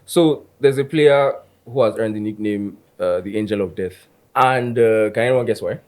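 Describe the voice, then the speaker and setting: A man's voice: lowish voice, normal speed, darkish tone. A Nigerian man on a podcast panel, sharing a fun fact in a playful, storytelling way. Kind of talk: casual football podcast chat.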